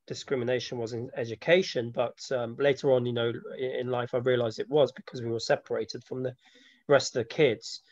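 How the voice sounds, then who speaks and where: A man's voice: mid-range voice, darkish tone, no echo. Dull-sounding man in his thirties, reflective. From born in Slovakia, grew up from age seven in London. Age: 30s. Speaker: interviewee, film maker and photographer. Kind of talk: informal personal interview. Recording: dull lo-fi audio, dry.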